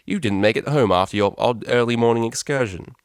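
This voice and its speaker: A man's voice: medium pitch, brisk, clear, resonant tone, dry recording, studio quality, voice pushed up, close to an everyday voice. A man voicing a haughty, commanding pony mother with a clipped, condescending manner, in a story reading. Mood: scolding, condescending.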